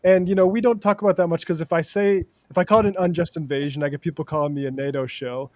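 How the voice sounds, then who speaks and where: A man's voice: a little high, fairly even intonation, quick pace, a little mellow, no room sound. A man hosting a podcast, asking a question in a hesitant way with plenty of fillers. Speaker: podcast host. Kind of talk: casual interview questions.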